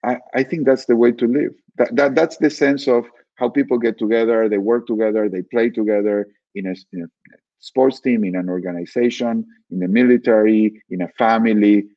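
A man from Argentina, a guest author giving calm, measured explanations in an interview. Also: medium pitch, far from the mic, dull audio. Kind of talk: reflective interview answers.

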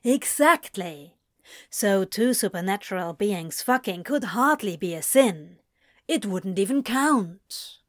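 A laid-back male demon, played by a woman, talks slowly in a medium-pitched voice. He is a teasing, coaxing tempter from a story, recorded close and dry. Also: animated, no room sound.

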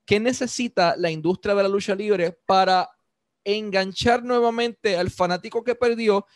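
A man hosting an interview, with a notably high voice. He asks his questions in a measured, deliberate way. The recording is dry. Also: no room sound. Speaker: interviewer, host.

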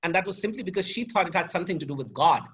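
Husky man telling stories. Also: sits high, lively intonation, a bit bright. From India. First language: Sanskrit. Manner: measured, storytelling, articulate. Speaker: guest, professor. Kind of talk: reflective interview answers. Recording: dull lo-fi audio.

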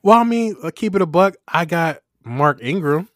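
A man's voice: on the high side, expressive, normal speed, dry recording. Young male podcast host, easygoing slang, dry close-miked sound. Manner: laid-back slangy, laughs often. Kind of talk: casual fantasy football chat.

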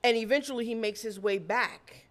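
A woman co-hosting a podcast who tells stories in casual chat, blunt and animated, and swears freely. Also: a little high, moderate pace. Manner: blunt, profane and animated storytelling. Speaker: podcast co-host.

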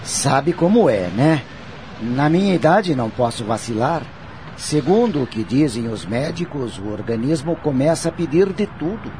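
A man's voice: mid-range voice, lively intonation, normal speed, a little mellow, slight background noise, natural voice. An actor playing a cheerful, easygoing older man in conversational radio drama style. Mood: cheerful, relaxed. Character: Ferdinando, easygoing older man, cheerful former office colleague.

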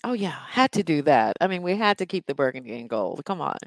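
A woman's voice: low-pitched, very animated, fast, dry recording. A woman in her forties from North Carolina, a guest on a podcast, sounding stuffy from allergies and talking in an upbeat, assured way. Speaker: guest, traffic reporter. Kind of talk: casual interview chat.

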